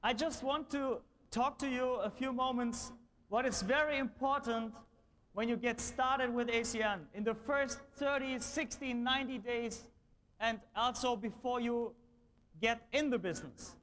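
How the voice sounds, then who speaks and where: A man's voice: very high-pitched, a little slow, a bit bright. German man speaking on a big stage. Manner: enthusiastic, slightly nonstandard English. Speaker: motivational speaker at a sales event. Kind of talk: stage speech. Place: large event hall on stage.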